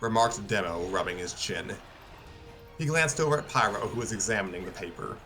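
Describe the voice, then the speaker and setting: A man's voice: lowish voice, animated, a little fast, sharp tone. A man narrating a scripted story as host of a live stream reading. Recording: music behind the voice.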